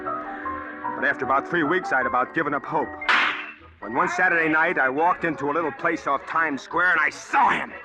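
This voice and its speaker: A man's voice: medium pitch, lightly acted. An actor playing a desperate, obsessed man with earnest, confiding delivery in 1940s radio style. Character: desperate, obsessed man narrating his hunt for truth. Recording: old radio drama with music.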